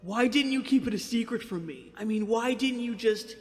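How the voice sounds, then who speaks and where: A reaction video host with an animated, theatrical, mocking and profane manner, switching between commentary and dramatic reading. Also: medium pitch, moderate pace. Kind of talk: reaction commentary mixed with dramatic read-aloud of a comic.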